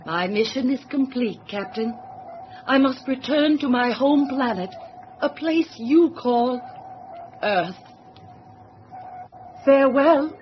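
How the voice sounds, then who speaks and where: A woman playing a calm, solemn alien emissary in a radio drama, speaking in a formal, measured, lecturing way. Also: high-pitched. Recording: dull noisy old radio-drama recording.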